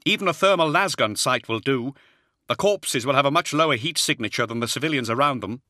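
Man playing an earnest, higher-pitched psychic warrior. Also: animated, a little fast, bright, forceful tone, dry recording, clean studio sound. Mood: grim. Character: earnest, cautious male psychic warrior, grim and wary. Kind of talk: audiobook character acting.

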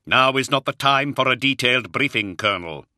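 A man voicing a commanding male warrior lord who speaks sternly and forcefully. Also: medium pitch, expressive, normal speed, dry recording, studio quality, voice pushed up.

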